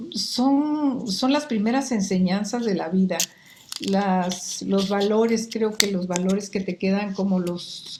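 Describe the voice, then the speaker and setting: Older Guatemalan woman with a Mexican accent, animated guest, middle pitch, roomy sound. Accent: Mexican Spanish. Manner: animated storyteller, picks up accents. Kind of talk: casual interview chat.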